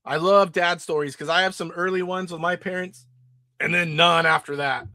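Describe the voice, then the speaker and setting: A man's voice: on the high side, fairly even intonation, moderate pace, bright, forceful tone, no echo, studio quality. A middle-aged man hosting a casual live stream about retro games, sick and nasally with his voice starting to go. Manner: rambling, demonstrating games live. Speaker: retro gaming show host.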